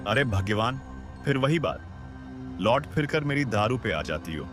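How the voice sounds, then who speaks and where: A man's voice: medium pitch, sing-song, unhurried. A man voicing a boastful, careless village drunkard in a Hindi cartoon story, with theatrical, exclamatory delivery. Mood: dismissive. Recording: music bed behind the voice.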